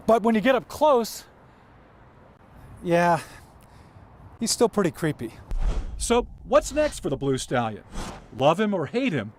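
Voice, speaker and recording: A man narrating a scripted TV news feature as its reporter, telling it in a playful, dramatic way. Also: a little high.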